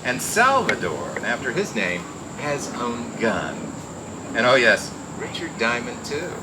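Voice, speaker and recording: Cool wisecracking gumshoe, medium pitch, noir radio narration with clear background noise. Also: male voice, sing-song, unhurried. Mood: wry, cool. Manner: wry, cool, slangy. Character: wisecracking hard-boiled male private detective narrator. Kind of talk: old-time radio noir narration and acting. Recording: noisy old radio drama recording.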